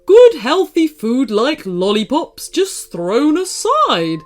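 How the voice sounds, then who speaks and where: A woman's voice: high voice, wildly swooping pitch, takes it slowly, voice pushed up, exaggerated voice. A woman voicing an indignant, emphatic talking raven in a children's storybook. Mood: indignant, warning. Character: talking raven, indignant, scolding wild bird.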